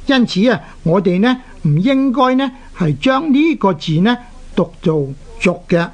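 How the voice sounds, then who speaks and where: A radio language teacher of unclear sex with a Hong Kong Cantonese accent, lecturing deliberately in short phrases with drawn-out particles. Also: mid-range voice, animated. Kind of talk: explanatory radio lecture on Chinese characters.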